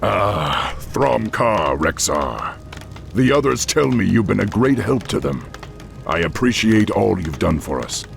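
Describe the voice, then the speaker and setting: A man voicing a stern, commanding orc warrior in a video game, with a gravelly voice. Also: lowish voice, big pitch swings, a little slow, soft background music, exaggerated voice. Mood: appreciative. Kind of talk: video game character acting.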